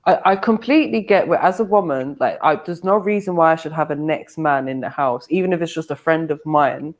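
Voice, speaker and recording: A woman's voice: low-pitched, fairly quick. A woman speaking frankly on a podcast panel, often checking "do you know what I mean". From Ireland. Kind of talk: casual debate chat.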